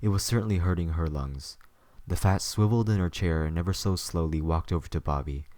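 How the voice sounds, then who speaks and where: A man's voice: low voice, fairly quick, mellow, no room sound. A man narrating a scripted story for an audio drama.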